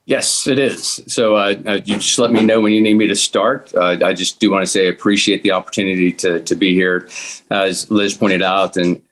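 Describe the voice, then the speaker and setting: A man speaks in a deep, low register. He gives informal guest remarks on a video call, hesitating and circling a little through his sentences. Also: steady tone, moderate pace, a little hiss or hum.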